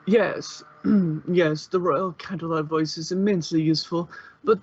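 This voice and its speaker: A man reads as a female alicorn princess in a fanfiction story, polite and a little sheepish. The delivery is courteous and hesitant, in a fairly high voice. The recording is slightly dull. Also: fairly even intonation, measured pace, breathy edge. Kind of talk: character voice in a fanfiction reading.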